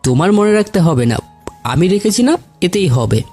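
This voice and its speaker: Casting: actor as devoted young male lover, warm dark tone, gentle and reassuring, romantic audio story with soft music. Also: high-pitched, sing-song, moderate pace, breathy edge, exaggerated voice. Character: tender, devoted young man in love. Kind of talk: dramatised audio story acting.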